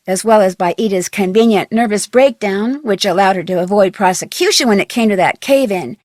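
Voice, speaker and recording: A woman's voice: fairly high pitch, dry recording, clean studio sound, lightly acted. A woman voicing a curious, plucky young female detective in a video game, musing aloud expressively. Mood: narrating, wry.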